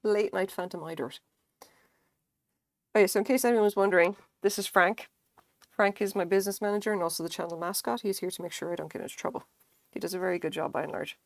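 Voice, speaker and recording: A woman's voice: medium pitch, fast, no room sound. Chatty Irish woman streaming, dry close sound. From Ireland. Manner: chatty, rambling, swears freely, laughs often. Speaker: crochet streamer. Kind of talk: casual live-stream chat.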